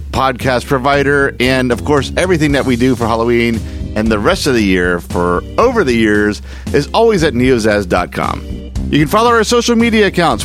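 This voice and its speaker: A man hosting a podcast, wrapping up the episode in an upbeat, chatty way. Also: medium pitch. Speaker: podcast host. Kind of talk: casual podcast outro.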